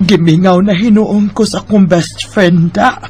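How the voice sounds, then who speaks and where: A woman playing an affectionate, sentimental middle-aged mother in a radio drama, with drawn-out emotional delivery. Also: medium pitch, a little hiss or hum. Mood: wistful.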